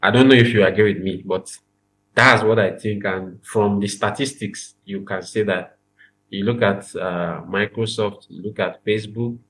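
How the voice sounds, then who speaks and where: Young Nigerian man, low voice, earnest and emphatic guest. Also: fairly even intonation, moderate pace, a bit bright, a little hiss or hum, poor audio. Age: young adult. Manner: earnest, repeats phrases, emphatic. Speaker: podcast guest. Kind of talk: casual interview chat.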